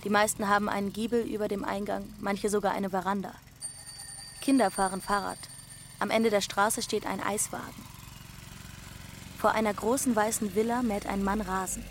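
A woman's voice: medium pitch, little pitch movement, normal speed, a little hiss or hum, lightly acted. A woman playing a young runaway girl who narrates in a plain, observant way in a radio drama. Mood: observant, calm. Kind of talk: scripted first-person narration.

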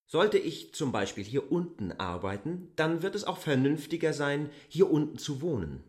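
An actor playing a candid, wry newly arrived land surveyor in a literary radio drama, speaking in a confiding manner. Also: male voice, a little high, sing-song, normal speed.

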